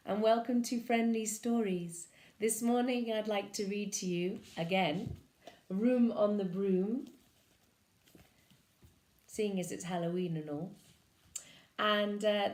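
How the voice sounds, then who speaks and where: A woman reading a children's picture book aloud with animated, lively expression. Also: mid-range voice. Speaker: storyteller. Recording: echoey room.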